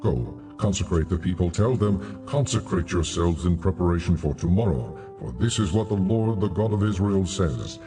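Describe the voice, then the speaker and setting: A man playing a stern, commanding God in a dramatized scripture recording, speaking solemnly and deliberately with authority. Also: low voice, expressive, recorded from a distance, music in the background. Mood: stern, condemning. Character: God, stern commanding deity rebuking his people. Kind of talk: dramatized scripture audio acting.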